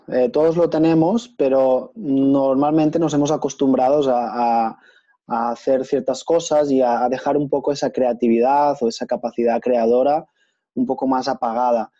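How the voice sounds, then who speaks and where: A man in his thirties hosting a casual interview over a video call, speaking in a relaxed, thoughtful way with many hesitations. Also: medium pitch. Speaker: host and certified facilitator. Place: small room at home. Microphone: earphone headset on video call. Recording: dull lo-fi video call audio.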